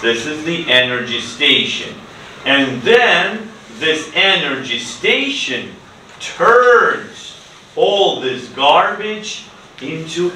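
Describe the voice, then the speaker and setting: A man's voice: a little high, bright, forceful tone. A middle-aged man teaching an English class, giving short prompts and repeating phrases for learners to echo. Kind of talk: classroom ESL lesson, questioning students. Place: classroom, standing at the board. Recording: echoey noisy classroom, distant mic.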